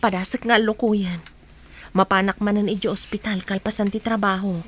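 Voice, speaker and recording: A woman's voice: medium pitch, steady tone, moderate pace, natural voice. A woman playing a frank, persuasive young working woman in an Ilocano radio drama, with an Ilocano accent.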